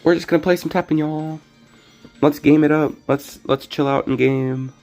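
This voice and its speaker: Slightly hoarse young male streamer, higher pitch. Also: fairly even intonation, normal speed, darkish tone, faint music behind. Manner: rambling, self-correcting. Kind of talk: casual gameplay commentary.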